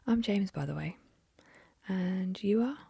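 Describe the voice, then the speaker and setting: A woman's voice: mid-range voice, a little slow, vocal fry, dark tone, slightly rough, no echo, heavily acted. Woman whispering as a friendly young male rowing instructor.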